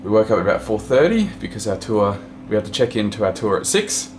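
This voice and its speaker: A man casually narrating his travel vlog into a handheld camera, relaxed and chatty, moving between buses, a ferry and a small room. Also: on the low side, fast. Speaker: vlogger. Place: on the move: bus, ferry, small hotel room. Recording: busy crowd and noise behind.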